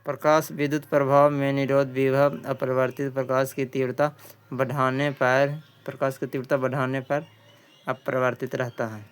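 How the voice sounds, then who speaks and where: Male quiz tutor, smooth clear tone, flat intonation, halting read-aloud of questions and answers with repeats. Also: mid-range voice. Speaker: exam-prep tutor.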